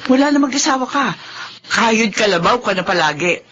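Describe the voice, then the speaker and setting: A woman's voice: fairly high pitch, animated, moderate pace, fairly bright tone, breathy edge. A woman playing a fretful, reproachful mother of a grown son in a Filipino radio drama. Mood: regretful. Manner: reproachful, fussing. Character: fretful, nagging mother of a married adult son. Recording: dull lo-fi radio recording, faint noise.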